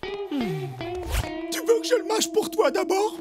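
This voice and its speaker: A woman's voice: very high-pitched, wildly swooping pitch, slow, over loud music, heavily acted. A woman voicing a young, well-meaning female blackbird in a French cartoon, earnest and slangy, like a keen organizer. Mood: concerned.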